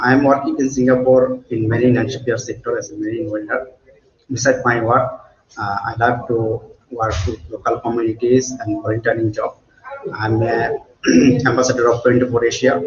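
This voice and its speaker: A Bangladeshi-accented man speaks in a steady, flat tone. His voice is muffled and roomy, with a faint hum of ambient noise behind it. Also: mid-range voice. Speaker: panelist, marine welder.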